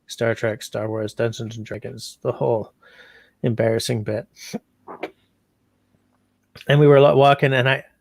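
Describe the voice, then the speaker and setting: A man's voice: mid-range voice, level tone, measured pace, gravelly, long pauses, no echo. A man hosting a casual livestream, meandering as he reads out chat comments. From Canada. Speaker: livestream host.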